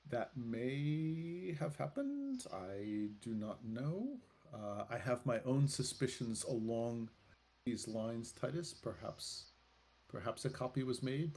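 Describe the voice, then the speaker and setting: Gravelly, halting man running a roleplaying game. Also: lowish voice, a little mellow. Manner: halting, deliberate, with many pauses. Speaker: game master of a tabletop roleplaying game. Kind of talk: improvised in-character narration.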